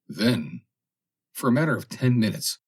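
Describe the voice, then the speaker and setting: A man's voice: medium pitch, very animated, measured pace, slightly rough. A man reading a story aloud as an audiobook narrator, in a dramatic scripted style.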